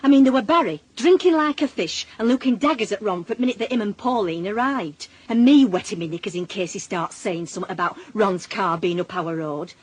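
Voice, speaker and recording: A woman playing a sharp-tongued, exasperated working-class wife in a radio drama, with a Northern English accent and a blunt, sardonic manner. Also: medium pitch, fairly quick, hardly any pauses, husky, natural voice. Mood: wry confiding. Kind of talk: naturalistic radio drama acting.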